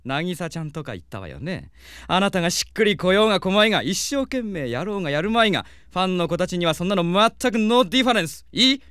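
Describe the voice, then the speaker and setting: Flamboyant, vain composer, high clear camp voice, pompous English sprinkles, comic audio drama. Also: male voice, sing-song, fast, a bit bright, no echo, studio quality. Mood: scornful. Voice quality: camp, effeminate lilt. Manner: pompous, theatrical, peppering Japanese with English words. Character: flamboyant, conceited, effeminate male hitmaker composer.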